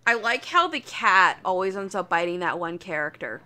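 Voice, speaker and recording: A woman's voice: fairly low pitch, lively intonation, normal speed, pushed and bright. A woman co-hosting a casual podcast, speaking hesitantly and apologetically, with casual swearing. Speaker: podcast co-host.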